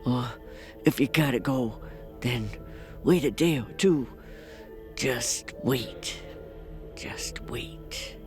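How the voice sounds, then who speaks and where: A woman's voice: a bass voice, very slow, long pauses, music in the background, heavily acted. An actor playing a frightened, superstitious old woman in an audio drama, pleading and ominous. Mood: ominous warning. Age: older.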